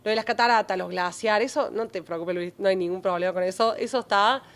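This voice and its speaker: Cordoban Argentine woman in her thirties, mid-pitched, animated guest storytelling in a podcast interview. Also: quick pace. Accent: Cordoban Argentine Spanish. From Córdoba, Argentina. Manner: animated storytelling with many fillers and restarts. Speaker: journalist and travel creator guest.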